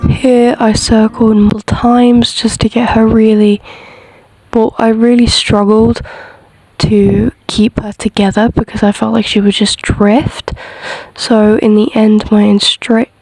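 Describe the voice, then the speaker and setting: A woman's voice: on the high side, little pitch movement, unhurried, darkish tone, dry recording, poor audio. A woman giving a casual, explanatory voiceover as a rider reviewing her own technique in a self-critical way. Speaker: horse rider narrating own lesson footage.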